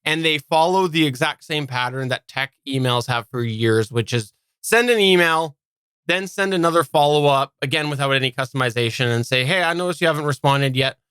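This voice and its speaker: A man co-hosting a casual live podcast chat, often repeating words haltingly as he works through a thought. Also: a little high, fairly bright tone, clear, resonant tone, no room sound. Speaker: podcast co-host.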